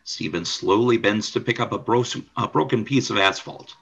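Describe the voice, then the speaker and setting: A man's voice: lowish voice, normal speed, vocal fry, a bit bright, breathy edge, muffled recording. A man reading scripted scene narration for a radio play. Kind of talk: scripted narration of stage directions.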